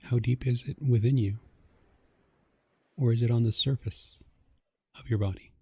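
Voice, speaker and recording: A man narrating a guided meditation in a gentle, calming, measured way, with a soft voice. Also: medium pitch, breathy edge, dry recording.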